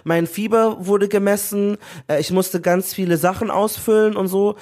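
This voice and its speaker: A man from Nigeria telling stories in a relaxed conversation, breaking into laughter often. His voice sits a little higher than most men's, and his talk is animated and expressive. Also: moderate pace. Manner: lively storytelling, laughs often. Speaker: guest. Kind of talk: casual chat.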